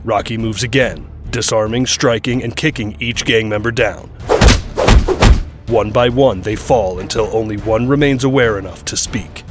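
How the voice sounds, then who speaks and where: Low-voiced man narrating an action drama. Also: moderate pace, over loud music.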